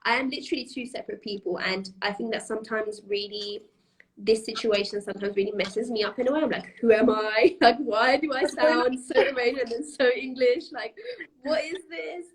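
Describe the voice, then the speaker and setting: A woman's voice: on the high side, moderate pace, rough recording. Chatty young woman with frequent laughs. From Iran. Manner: chatty, laughs often, many fillers and restarts. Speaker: guest. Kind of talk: casual interview chat.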